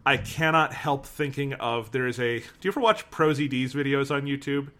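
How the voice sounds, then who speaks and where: Bright-voiced man co-hosting a review. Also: medium pitch, a little fast. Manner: animated, laughs while telling a story. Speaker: podcast co-host. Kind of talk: casual review discussion.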